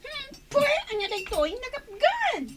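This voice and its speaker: A woman's voice: extremely high pitch, very animated, measured pace, heavily acted. A woman acting a bratty, fussy young diner who complains, in an Ilocano radio comedy drama with background noise. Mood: whiny outrage.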